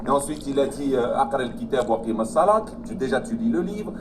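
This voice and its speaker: A man's voice: mid-range voice, expressive, brisk. A man explaining his view in a casual, hesitant way with many fillers. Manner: conversational, hesitant, lots of fillers. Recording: distant mic with ambient noise.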